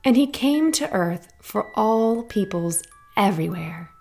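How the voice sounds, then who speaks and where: A woman hosting a children's Bible story podcast, narrating a scripted story in a gentle, clear, unhurried way. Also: mid-range voice, expressive, faint music behind.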